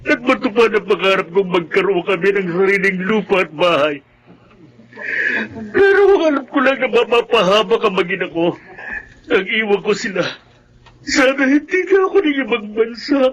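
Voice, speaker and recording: A grieving young husband in a radio melodrama. The voice sits high for a man and carries heavy, emphatic emotion. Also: animated, moderate pace, fairly bright tone, highs cut off. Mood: anguished. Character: grieving young husband back from abroad, vengeful son. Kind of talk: melodramatic radio drama acting.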